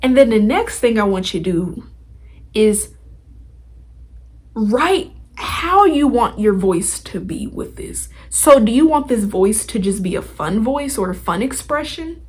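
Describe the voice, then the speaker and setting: A woman's voice: a little high, lively intonation, measured pace, darkish tone, slightly rough. A woman hosting a podcast, giving a casual motivational talk straight to listeners in an informal, slangy, emphatic way. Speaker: podcast host.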